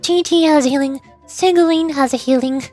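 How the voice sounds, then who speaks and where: A woman's voice: high-pitched, normal speed, darkish tone, faint music behind. A woman streaming a video game and chatting casually through gameplay commentary. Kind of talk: casual gaming livestream commentary.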